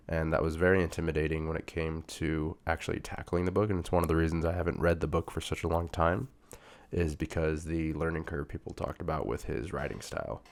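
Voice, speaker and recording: A man's voice: a bass voice, vocal fry, a little mellow. A young man chatting casually through a book review, his voice a bit off as he recovers from being sick. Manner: chatty, informal slang, frequent fillers. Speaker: booktube reviewer.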